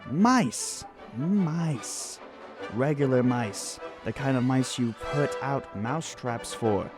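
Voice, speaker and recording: A man's voice: medium pitch, expressive, takes it slowly. Man acting a folksy gossip over music. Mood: amused, emphatic. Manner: folksy, colloquial, chatty. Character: gossipy small-town local man, folksy and amused. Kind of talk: radio drama acting.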